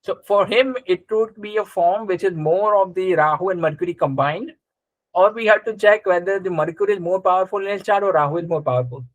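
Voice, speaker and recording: Higher-pitched man teaching a class. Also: brisk, no room sound, poor audio. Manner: conversational, checks understanding with short questions. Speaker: astrology teacher. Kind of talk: live interactive class, explaining and quizzing students.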